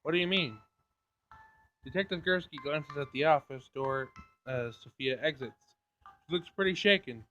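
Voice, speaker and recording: A man's voice: on the high side, normal speed, pauses often, a bit bright. A man reading a visual novel aloud as dramatic narration on a gaming channel, in a screen recording. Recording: dull lo-fi screen recording, game music behind. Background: faint game music.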